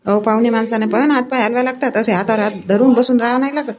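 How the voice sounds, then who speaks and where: A woman voicing a sharp-tongued middle-aged village wife in a cartoon comedy, speaking colloquial rural Vidarbha Marathi. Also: on the high side, steady tone, fast, lightly acted. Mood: scolding. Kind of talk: homely cartoon comedy dialogue.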